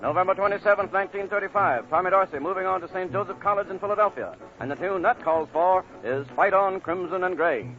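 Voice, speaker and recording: A high-pitched American man works as a polished big band radio announcer, talking quickly and formally with a showman's flourish. The sound is a thin, tinny vintage broadcast with loud music behind him. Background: loud big band dance music.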